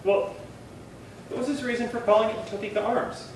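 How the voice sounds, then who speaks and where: A man's voice: sits high, fairly even intonation, fairly quick, a little hiss or hum, lightly acted. Radio drama role: actor as courteous male detective, brief patient questions, heard from afar in a very echoey hall. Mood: polite, inquiring. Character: polite police detective partner, brief and patient. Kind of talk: 1950s radio crime drama acting. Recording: echoey hall, far from mic.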